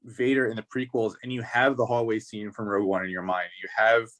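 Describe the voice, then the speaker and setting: A man with a fairly low voice speaks as a panelist in a casual discussion. He is analytical and circles around his point, hesitating as he builds it. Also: little pitch movement, a little fast, a bit bright. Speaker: podcast panelist.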